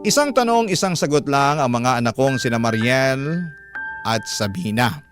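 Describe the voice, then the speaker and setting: Man acting as anxious Filipino father, radio drama narration, medium pitch, measured, polite, loud background music. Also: animated, lightly acted. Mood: apprehensive. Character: humble, anxious seaman father confiding his troubles. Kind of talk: dramatic first-person letter narration.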